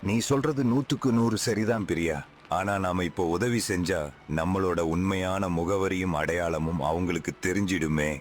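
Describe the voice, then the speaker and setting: A man's voice: low-pitched, a little hiss or hum, lightly acted. A man acting a principled, caring husband in a story drama, speaking earnestly. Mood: worried. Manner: measured, earnest.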